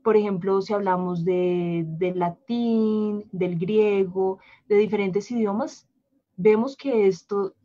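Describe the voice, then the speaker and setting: A woman explaining language planning on a live panel, measured and explanatory, sometimes repeating words. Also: mid-range voice, fairly even intonation. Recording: dull lo-fi livestream audio.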